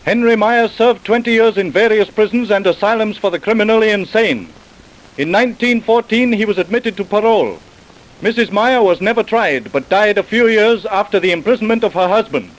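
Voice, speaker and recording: A man's voice: sits high, a little fast, rough recording. A man narrating a scripted old-time radio crime drama in a formal, declamatory manner.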